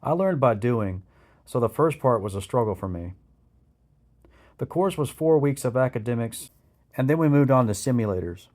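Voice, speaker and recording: A man's voice: mid-range voice, lively intonation, normal speed, long pauses, darkish tone. A man reading a scripted first-person memoir of military training, in the style of an audiobook. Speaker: memoir author narrating his own military training.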